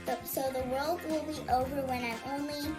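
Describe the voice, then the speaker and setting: A young girl delivers a scripted parody in a high, childish voice. She talks in a valley-girl style and keeps a deadpan tone. Clear music plays underneath her. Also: moderate pace. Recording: video played with music under it.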